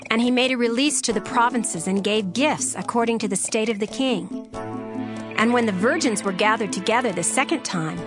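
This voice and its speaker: A woman narrator giving a formal, measured reading of scripture in a dramatized style. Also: medium pitch. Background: music.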